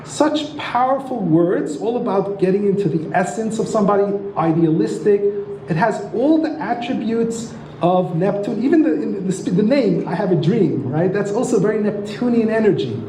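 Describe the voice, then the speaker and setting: A man with a high, mellow voice teaches a lecture and keeps tossing rhetorical questions at the audience. His tone is warm rather than sharp, and the room around him rings with a noticeable echo. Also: expressive, moderate pace, a little hiss or hum. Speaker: astrology lecturer and author. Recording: roomy echo.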